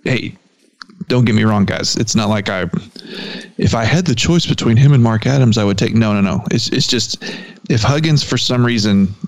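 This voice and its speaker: A man with a mild West Texas accent co-hosting a sports podcast. He jokes and chats loosely about coaches and teams, in a voice of ordinary pitch. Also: moderate pace. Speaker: podcast co-host. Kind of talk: casual sports chat.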